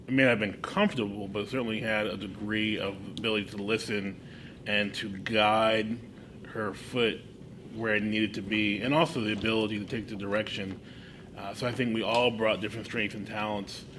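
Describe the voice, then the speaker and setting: A man addressed as doctor, a faculty member, giving a thoughtful and measured reply in a group discussion. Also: on the low side, noisy background. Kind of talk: discussion contribution.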